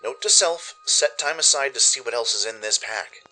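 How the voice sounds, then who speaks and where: A man's voice: medium pitch, lively intonation, normal speed, pushed and bright, faint music behind, poor audio. Man voicing an anxious, earnest young pony filly. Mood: earnest, reluctant. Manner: nervous, self-reassuring inner monologue. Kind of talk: first-person audio drama narration.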